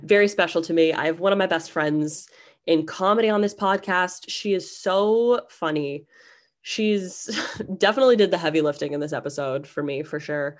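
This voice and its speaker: A young woman with a low voice opens her podcast in a casual, rambling way. She sounds slightly nasal from a cold and talks with self-deprecating chattiness. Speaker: podcast host. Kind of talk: casual solo podcast intro.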